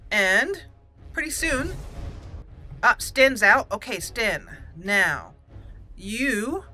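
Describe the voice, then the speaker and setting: A woman hosts a casual gaming playthrough in a bright, clear-edged voice with unhurried pacing. She thinks aloud and addresses the characters as she goes, with music behind her. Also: a little high, animated. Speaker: gaming channel host. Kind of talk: casual let's play commentary.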